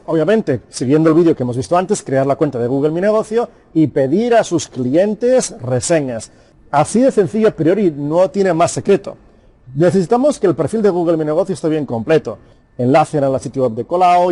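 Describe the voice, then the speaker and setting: A man explains a lesson to small business owners, urging them on directly and addressing them formally. His voice sits a little high, with a soft, mellow tone. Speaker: course presenter.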